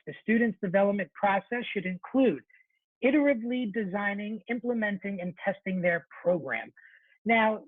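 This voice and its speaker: A man presenting an informal webinar for teachers, chatty and relaxed, often correcting himself mid-sentence. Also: high-pitched, no echo. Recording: thin phone-like webinar audio, dry.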